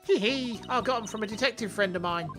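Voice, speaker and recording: A man's voice: high voice, fast talker, music in the background, voice pushed up, close to an everyday voice. A man voicing a bubbly, cheerful teenage girl magician in a video game, with a chirpy, giggly manner. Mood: playful.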